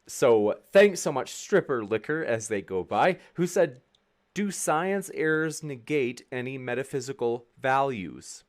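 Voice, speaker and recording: A man's voice: medium pitch, animated, a little slow. A man hosting a debate, informally and in a friendly way moderating and reading out audience questions.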